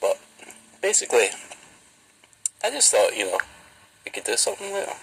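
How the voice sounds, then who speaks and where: Teasing Glaswegian man, gravelly voice. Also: mid-range voice, wildly swooping pitch, moderate pace, a bit bright, rough recording. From Glasgow, Scotland. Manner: flirty, joking, teasing, mock-serious. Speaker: vlogger. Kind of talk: playful casual chat.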